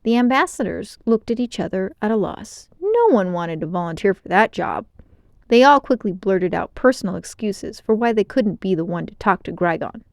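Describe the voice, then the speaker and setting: A woman narrating a children's novel aloud in an expressive storytime style. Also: medium pitch, moderate pace, creaky, no echo, clean studio sound.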